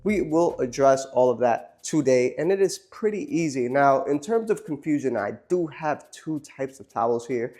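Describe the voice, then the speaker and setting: A man with a cold who sounds nasal, hosting a casual product demonstration beside a car and explaining things in a relaxed, chatty way with frequent ums and uhs. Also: medium pitch, moderate pace, few pauses. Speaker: host. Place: beside a car, cleaning its windshield.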